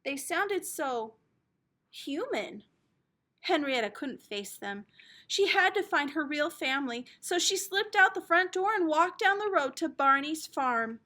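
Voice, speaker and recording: This is a woman reading a picture book aloud like a teacher to young children. Her voice is high and bright, and she tells the story expressively, with very lively pitch movement. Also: measured pace.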